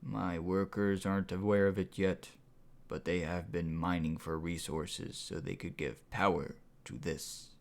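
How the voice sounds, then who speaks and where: A man plays a calm tyrant in an audiobook. The voice sits low and moves slowly, soft and breathy, with deliberate menace. He sounds like a would-be king in full control. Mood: menacing, controlled. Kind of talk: audiobook character voice.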